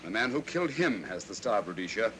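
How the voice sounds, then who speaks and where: A fast, sharp-toned English gentleman detective. He is composed and precise, with animated pitch, in vintage mystery style on a hissy old soundtrack. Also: rough recording. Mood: analytical. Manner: crisp, precise, self-assured. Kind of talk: 1940s film mystery acting.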